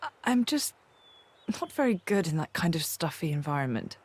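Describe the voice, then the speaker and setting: Earnest, expressive young adventurer. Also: female voice, sits low, moderate pace. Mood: awkward. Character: informal, earnest young woman adventurer, uneasy among nobles. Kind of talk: video game cutscene acting.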